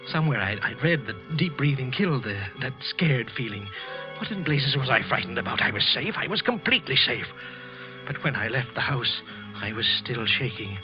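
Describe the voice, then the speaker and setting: A man's voice: medium pitch, close to an everyday voice. Man acting tense, guilt-ridden murderer in old radio. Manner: tense, halting, repeating himself. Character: guilt-ridden, frightened office clerk narrating his murder. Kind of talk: 1940s radio suspense acting, first-person narration. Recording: muffled old radio recording.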